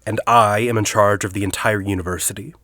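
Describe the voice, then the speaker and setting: An actor playing a forty-year-old university headmaster in an audio drama, a secretive academic who speaks in a deliberate, articulate, confiding way. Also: male voice, fairly low pitch, fairly even intonation, sharp tone, dry recording, clean studio sound. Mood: guarded, challenging. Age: 40s. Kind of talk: audio drama dialogue and storytelling.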